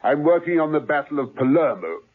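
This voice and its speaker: A pompous retired brigadier, older and fussy, who blusters and repeats himself. His husky voice rises and falls with great liveliness. It comes through thin and tinny, like an old radio broadcast. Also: fairly high pitch, big pitch swings, a little fast. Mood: placating. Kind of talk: 1970s radio drama acting.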